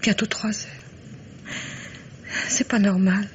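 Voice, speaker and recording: Radio drama: actress as anxious ailing mother, middle pitch, hesitant, lo-fi sound. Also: level tone, slow, lightly acted. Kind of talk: 1950s French radio mystery acting. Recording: dull, noisy vintage radio recording.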